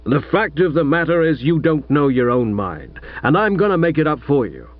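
A man voicing a blustering, gruff older American millionaire father who talks bluntly, in a dull lo-fi radio recording. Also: a little high, big pitch swings, moderate pace, dry recording. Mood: angry blustering. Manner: snorting, bullying, blunt.

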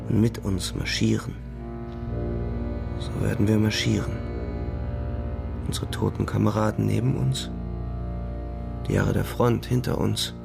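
An actor playing a bitter, world-weary young front-line soldier narrating a radio drama in a measured, grim, gravelly way. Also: male voice, on the low side. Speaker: first-person narrator.

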